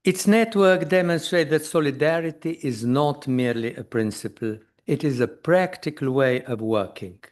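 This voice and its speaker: An older man from Slovenia, delivering a formal prepared conference speech in a measured, deliberate way, his voice dropping low at the ends of phrases. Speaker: conference speaker.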